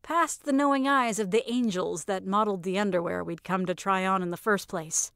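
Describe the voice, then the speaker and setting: A woman narrating scripted audio fiction and podcast credits with an expressive, wry storytelling manner. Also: medium pitch, a little fast, clear, resonant tone, dry recording. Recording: dry recording with music behind. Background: music.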